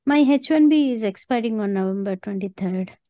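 A woman's voice: medium pitch, animated, a little fast, mellow, no echo, muffled recording. A woman calling in with questions, speaking in a hesitant, conversational way. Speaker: caller.

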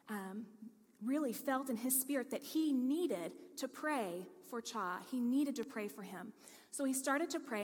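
A woman pastor preaching a sermon, telling a story and reading scripture with earnest, lively emphasis. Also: fairly high pitch. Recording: long hall reverb.

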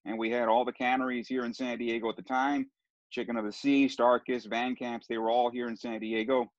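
A man's voice: medium pitch, level tone, a little fast, dry recording. A middle-aged man from Southern California tells his story in a casual interview, wandering and restarting as he goes. The sound is close and dry, with a slightly dull, muffled tone. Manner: rambling, with many restarts and fillers. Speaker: sports broadcaster guest.

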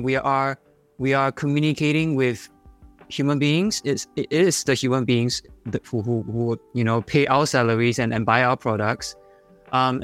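A man with a Singaporean accent, speaking as a panelist in a panel discussion and often repeating words as he starts his sentences. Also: medium pitch. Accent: Singaporean English.

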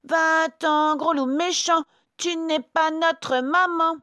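A woman voicing cheeky, excitable young storybook goats with squeaky, childlike, singsong delivery. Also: measured pace, no room sound, clean studio sound. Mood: defiant. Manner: loud, singsong taunting. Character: chorus of cheeky, excitable little goat kids.

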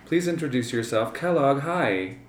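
A man's voice: medium pitch, lively intonation, roomy sound, distant mic. A man co-hosting a podcast, talking casually with frequent restarts as he interviews a guest. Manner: halting, with many restarts and trailing off. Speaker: podcast co-host. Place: home living room.

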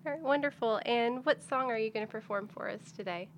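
A woman's voice: a little high, a little fast, highs cut off, slight background noise. A woman interviewing a guest in a casual style as a music editor.

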